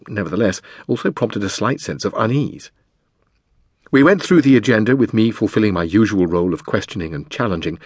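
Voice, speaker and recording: A man reading a memoir as a scripted audiobook narration, in a measured, deliberate manner with dramatic pauses. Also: lowish voice, no echo, clean studio sound.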